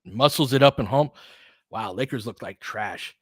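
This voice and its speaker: A man's voice: medium pitch, normal speed, fairly bright tone, breathy edge, no echo. A man giving informal, candid basketball play-by-play and opinionated commentary as the host of a fan stream.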